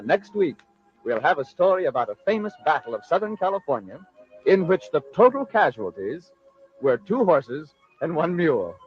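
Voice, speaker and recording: An American man narrating a 1940s radio drama as host, in a theatrical, measured storytelling style. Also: on the high side. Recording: dull lo-fi 1940s radio recording.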